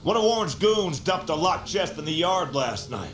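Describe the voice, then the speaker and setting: Man acting as blunt junkyard guy, cocky, folksy, highish voice, lively swings, video game character, roomy sound, faint music. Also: normal speed.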